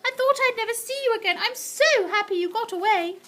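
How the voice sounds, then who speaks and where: A woman voicing a warm, brave elderly grandmother in a storybook, high and tender. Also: wildly swooping pitch, moderate pace, slight background noise, full character voice. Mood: earnest.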